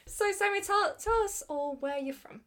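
A woman's voice: extremely high pitch, moderate pace, fairly bright tone. A woman hosting a podcast in an informal, chatty interview style, with a giggly manner. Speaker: podcast host. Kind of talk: casual podcast interview chat.

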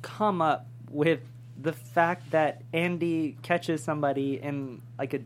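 A woman's voice: low voice, fairly even intonation, takes it slowly. A woman from New York interviewing guests in a casual, chatty style. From New York, United States. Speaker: interviewer on a table-read show. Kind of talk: casual chat. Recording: audible background hum and noise.

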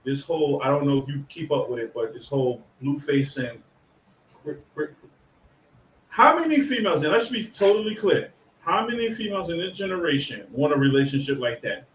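A man's voice: on the high side, expressive, a little fast, recorded from a distance, a little hiss or hum. Male podcast co-host, laid-back slang, sits back from the mic, echoey room. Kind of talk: casual chat. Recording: roomy, off-mic.

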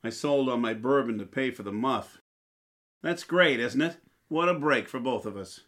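A man's voice: medium pitch, normal speed, fairly bright tone, natural voice. A man playing a blustery, henpecked but sentimental husband in 1940s radio comedy style. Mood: rueful, tender.

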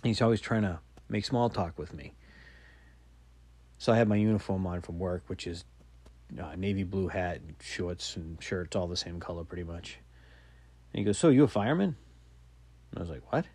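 A man's voice: deep voice, steady tone, normal speed, pauses often, a little mellow, husky, no echo. A man in his forties telling rambling, self-mocking stories on his podcast, swearing casually. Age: 40s. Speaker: podcast host. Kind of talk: casual solo storytelling.

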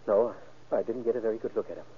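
An actor playing a shaken, wounded husband in an old-time radio crime drama, speaking haltingly and earnestly. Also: male voice, fairly low pitch, steady tone, slightly rough. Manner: halting, earnest witness account. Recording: muffled, band-limited vintage radio recording.

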